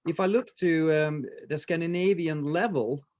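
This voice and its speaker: Swedish-accented man in his fifties, slightly raised pitch, halting speech full of fillers; martial arts guest on a video call. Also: darkish tone. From Sweden. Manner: halting, many fillers and restarts. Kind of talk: casual interview chat.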